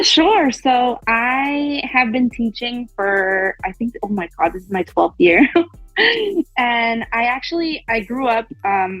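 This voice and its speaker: A woman's voice: fairly high pitch, fairly bright tone, no echo. A woman in her thirties, a guest teacher, talking casually and laughing often on a podcast. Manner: chatty, laughs often, says "like" a lot. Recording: dull lo-fi sound.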